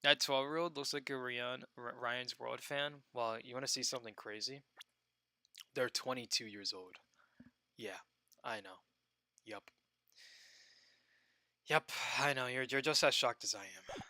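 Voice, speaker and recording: A young man streaming a video game, joking and excitable, swinging between loud outbursts and low muttering. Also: a little slow, long pauses, no room sound, clean studio sound. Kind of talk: casual gameplay commentary.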